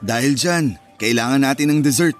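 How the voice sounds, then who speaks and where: Earnest young Filipino boyfriend, mid-pitched actor playing him. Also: male voice, moderate pace, soft background music, close to an everyday voice. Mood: happy. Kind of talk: Filipino radio romance drama acting.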